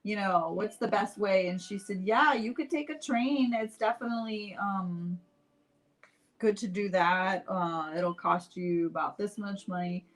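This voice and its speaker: Chatty woman telling stories at an unhurried pace. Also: medium pitch, rough recording. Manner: chatty, animated retelling with acted-out dialogue and frequent "like"; addresses viewers directly. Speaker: host painting live while telling stories. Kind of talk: casual livestream storytelling.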